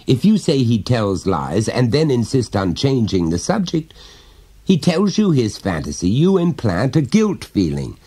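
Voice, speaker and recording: A man's voice: medium pitch, big pitch swings, unhurried, dark tone, slightly rough, pitched above the performer's own voice. A man reading an audiobook, voicing a pompous middle-aged father who lectures his son in a condescending way. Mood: lecturing. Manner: condescending, explaining at length.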